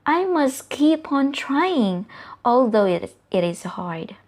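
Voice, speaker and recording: A woman voicing a plucky, determined young boy space adventurer from a storybook, speaking in an earnest, deliberate way. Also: high voice, sing-song, darkish tone, full character voice.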